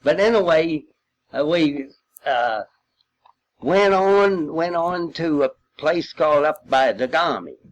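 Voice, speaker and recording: A man's voice: fairly high pitch, lively intonation, slow, rough recording. An older man telling war memories informally, in a folksy, rambling way with long hesitations. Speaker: war veteran recounting memories. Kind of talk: informal spoken reminiscence.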